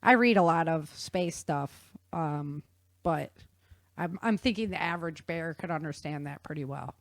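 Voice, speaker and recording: A woman's voice: sits low, normal speed, dry recording, clean studio sound. A woman hosting a podcast, explaining things in an informal, folksy and enthusiastic way as casual chat. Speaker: podcast host.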